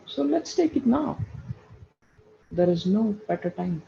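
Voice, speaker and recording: A man with a high-pitched yet very soft, mellow voice that turns creaky, guiding a meditation. He gives slow, soothing instructions and repeats short phrases, on a dull recording. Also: sing-song, a little hiss or hum. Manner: repeats phrases for emphasis, addresses listeners as friends. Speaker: guided meditation teacher.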